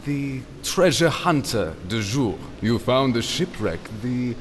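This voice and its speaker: A man playing a suave, secretive ship captain with formal, smoothly polite manners in an adventure audio drama. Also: mid-range voice, lively intonation, slow. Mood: smooth welcome. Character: suave, courteous, secretive male ship captain, master of ceremonies. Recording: echoey hall with background noise.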